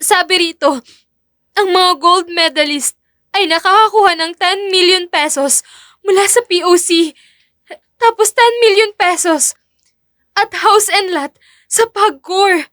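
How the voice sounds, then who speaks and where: Woman voicing envious young daughter, extremely high voice, dry close sound, whiny, tearful, audio drama. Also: unhurried, pauses often, a bit bright, no echo. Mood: bitter, envious. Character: bitter, envious young female taekwondo athlete, Rowena's daughter.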